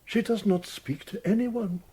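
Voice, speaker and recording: An actor playing an anxious Victorian gentleman father in a period audio drama, speaking formally and hesitantly. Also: high voice, measured pace. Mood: awkward.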